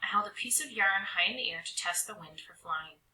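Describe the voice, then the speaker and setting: A woman reading a children's storybook aloud with expressive, dramatic narration, stretching some words and hushing others. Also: medium pitch, brisk, slight background noise. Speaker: storybook reader. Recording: roomy echo.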